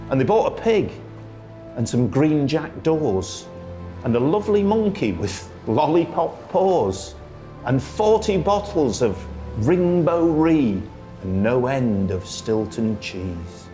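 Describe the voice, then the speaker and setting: A man reciting a children's poem as a storyteller, in a theatrical, singsong way with drawn-out phrasing. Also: medium pitch, dark tone. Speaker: storyteller, radio presenter. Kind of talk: expressive children's poetry recitation.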